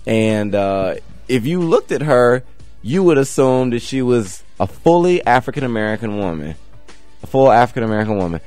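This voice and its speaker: A man hosting a talk show, asking questions and telling a story in a lively way that rises with emphasis. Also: mid-range voice, moderate pace, dry recording, soft background music. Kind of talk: interview and anecdote.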